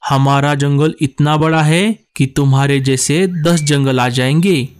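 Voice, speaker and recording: A man's voice: medium pitch, steady tone, a little slow, clear, resonant tone, natural voice. A man voicing a friendly, naive, obliging male crow in a Hindi cartoon story.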